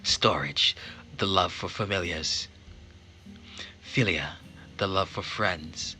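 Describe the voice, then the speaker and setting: A man voicing a stern, vengeful mother from a visual novel, speaking slowly and solemnly like an incantation, often dropping to a whisper. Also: low voice, sharp tone, faint music behind, heavily acted. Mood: solemn, menacing. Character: stern vengeful mother casting a curse, middle-aged woman. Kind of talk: dramatic reading of a visual novel.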